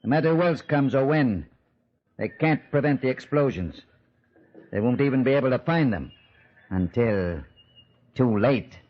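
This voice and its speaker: Actor as a cold saboteur, muffled old radio. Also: male voice, medium pitch, animated, a little slow, pauses often, lightly acted. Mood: smug, scornful. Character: cold, contemptuous male saboteur in charge. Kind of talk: 1950s radio drama acting. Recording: muffled old radio recording, background noise.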